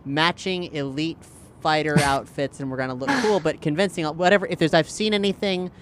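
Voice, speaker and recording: A man chatting casually as a tabletop game player, thinking aloud in a halting way. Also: on the high side, fairly bright tone, a little hiss or hum.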